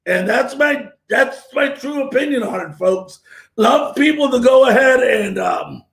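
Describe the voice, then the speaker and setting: A hoarse man hosting a sports talk show, his voice pitched high and gravelly with fry. He talks loud and opinionated, often near a shout, in an echoey room. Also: animated, unhurried.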